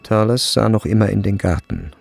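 A man narrating a literary radio play in a measured, sober and precise manner. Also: on the low side, vocal fry, darkish tone, no echo, faint music behind.

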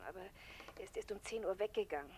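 An actress playing a weary, fearful unfaithful wife, subdued and resigned, in a 1960s German radio crime drama. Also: female voice, mid-range voice, fast talker, a little mellow, close to an everyday voice. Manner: subdued, resigned, breathy murmur. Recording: hissy 1960s radio play recording.

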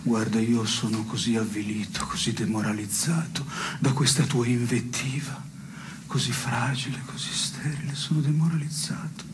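A man's voice: mid-range voice, level tone, moderate pace, noisy background, close to an everyday voice. An actor playing a pedantic, dejected man who needles his partner in absurdist stage dialogue. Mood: dejected, pleading. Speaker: stage actor performing a two-person play.

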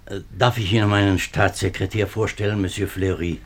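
A man's voice: on the low side, steady tone, fairly quick, lightly acted. A man playing a nervous, worn-down middle-aged minister in a 1950s German radio drama, speaking formally but hesitantly. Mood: troubled, courteous. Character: nervous, worn-down government minister, husband and father.

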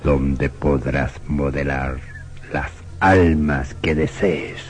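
An actor playing a menacing, commanding Satan statue come to life in a radio horror drama, with a gruff, solemn, threatening delivery. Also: male voice, steady tone, extremely slow, soft background music, full character voice. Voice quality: gruff, booming.

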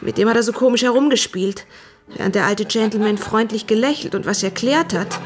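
An actress playing a frightened young housemaid in a radio drama, giving breathless, halting eyewitness testimony in colloquial speech. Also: female voice, medium pitch, lightly acted. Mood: horrified, agitated. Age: young adult.

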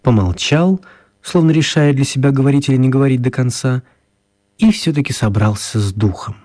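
A man narrates a children's radio story in a mid-pitched, mellow voice with a husky edge. The delivery is scripted storytelling, recorded close and dry. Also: fairly quick, dry recording.

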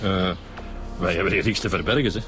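A man's voice: low voice, a little fast, over music, close to an everyday voice. A man with a Flemish accent playing a shifty, folksy old workman in a radio drama. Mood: evasive. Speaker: actor in a radio crime serial.

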